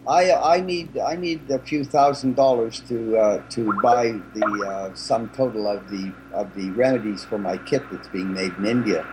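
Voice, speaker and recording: A man guest in a casual chat, jokey and animated, speaking over a video call. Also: mid-range voice, normal speed, hardly any pauses, noisy background. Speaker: interview guest.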